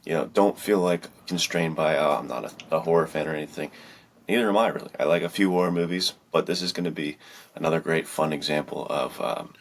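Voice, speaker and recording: Deep-voiced man in casual podcast chat. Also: fast talker. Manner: slangy, encouraging. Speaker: podcast host.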